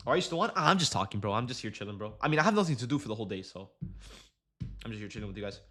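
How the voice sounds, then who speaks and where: A man's voice: on the low side, very animated, very fast, fairly bright tone. A young man casually chatting on a trading livestream, slangy and loose, full of fillers and restarts. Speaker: day trading streamer.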